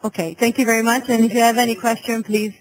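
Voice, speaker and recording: A woman's voice: fairly high pitch, flat delivery, fast, rough recording. A woman speaking as the host of an online webinar, presenting to participants.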